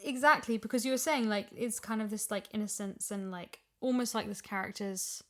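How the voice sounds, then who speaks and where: A woman's voice: medium pitch, a little fast, fairly bright tone. A woman chatting casually as a voice actor about her work, reflective and working through her ideas as she speaks. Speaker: voice actor discussing her character. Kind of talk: casual discussion.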